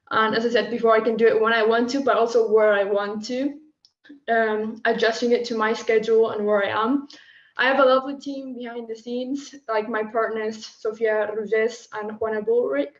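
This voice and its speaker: Flat-voiced teenage girl, echoey recording. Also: fairly high pitch. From Argentina. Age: teens. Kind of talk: prepared self-introduction. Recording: dull, echoey room.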